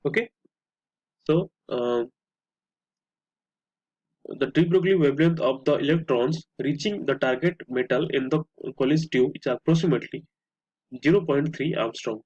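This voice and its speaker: A male tutor with an Indian accent and a husky, rough-edged voice explains a worked problem step by step. His delivery is halting, with repeated words and phrases. The recording is muffled and lo-fi, with noticeable room echo. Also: a little high, a little mellow. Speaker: physics tutor. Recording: muffled lo-fi, echoey room sound.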